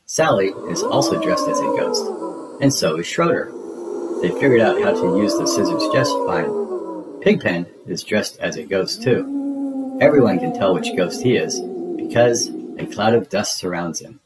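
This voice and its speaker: A man narrating a children's storybook in an expressive, deliberate storytelling manner. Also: fairly low pitch, very noisy. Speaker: read-aloud narrator. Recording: music behind the voice. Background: music.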